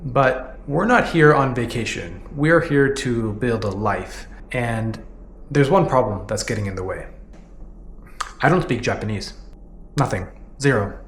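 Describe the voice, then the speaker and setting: A man's voice: medium pitch, expressive, unhurried. Canadian man in his 30s, candid vlogger; echoey room, light background hum. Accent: Canadian English. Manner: earnest, candid, frequent "you know" fillers. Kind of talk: reflective personal vlog narration.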